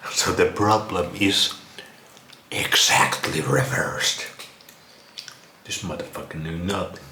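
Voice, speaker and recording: A man's voice: deep voice, pushed and bright. A man with a Finnish accent giving casual, sarcastic reaction commentary, mocking and crude in his wording. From Finland. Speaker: vlog host. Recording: roomy echo, some background noise.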